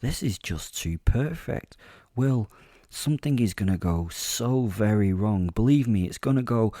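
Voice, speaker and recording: A man's voice: on the low side, lively intonation, a little slow, warm tone, no room sound, studio quality. A man voicing a sneering, bloodthirsty werewolf creature, impatient and ranting, in a horror audio drama. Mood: exasperated ranting. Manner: taunting, ranting, foul-mouthed.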